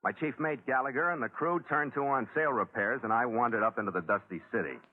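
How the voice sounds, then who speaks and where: A man's voice: medium pitch, quick pace, heavy background noise, close to an everyday voice. An actor playing a worldly sea captain, narrating his voyage in clipped, wry 1940s radio adventure style. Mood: measured storytelling. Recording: lo-fi vintage 1940s radio recording.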